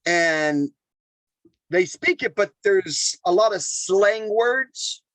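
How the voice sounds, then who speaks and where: A man, a chef, telling stories in a casual, animated interview with lots of repeated phrases. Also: high voice, drawn-out words, no echo. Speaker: chef and podcast guest.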